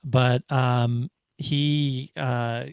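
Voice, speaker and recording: A man co-hosting a motorsport discussion, talking casually and haltingly as he analyses. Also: medium pitch, little pitch movement, clear, resonant tone, no room sound, clean studio sound. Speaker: motorsport podcast host.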